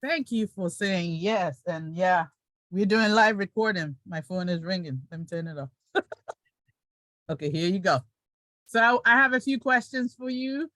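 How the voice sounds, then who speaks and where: A woman's voice: mid-range voice, measured pace, fairly bright tone, dry recording. A woman hosting an interview, friendly and playful, laughing often and teasing her guest. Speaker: podcast host.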